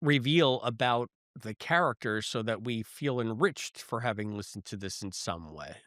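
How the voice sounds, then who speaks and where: A man's voice: mid-range voice, expressive, normal speed, fairly bright tone, no echo, studio quality. A man co-hosting a podcast, chatting casually and musing through rhetorical questions in a review discussion. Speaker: podcast co-host. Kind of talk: casual review chat about a science fiction audio drama.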